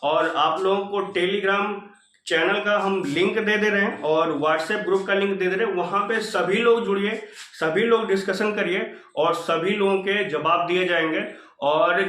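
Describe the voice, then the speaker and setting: A man's voice: high voice, unhurried, fairly bright tone. A man hosting an informal motivational update, speaking earnestly and repetitively as he exhorts his listeners. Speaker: channel host addressing exam candidates. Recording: roomy, echoing sound.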